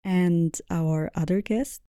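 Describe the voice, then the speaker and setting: A woman speaks as a podcast host with a fairly low, mellow voice. The sound is close and dry, and she moderates conversationally, setting things up for listeners. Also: slow, clean tone, no echo. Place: indoors, sitting with guests in Berlin.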